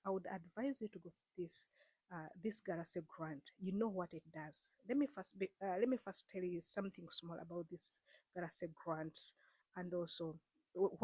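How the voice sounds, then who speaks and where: A woman's voice: fairly low pitch, darkish tone, breathy edge, dry recording. A woman vlogger speaking straight to her viewers in a casual, earnest way, repeating herself and coaxing them with frequent fillers. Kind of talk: casual direct-to-camera explainer.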